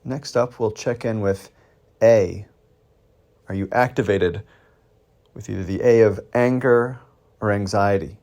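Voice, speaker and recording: A man leading a guided meditation as a mindfulness teacher, gently prompting with questions and pauses. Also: lowish voice.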